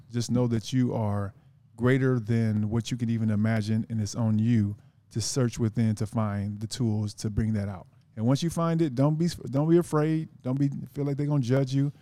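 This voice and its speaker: Earnest rambling man, mellow voice. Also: medium pitch, steady tone, normal speed, no echo. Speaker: podcast guest, author. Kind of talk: casual podcast chat.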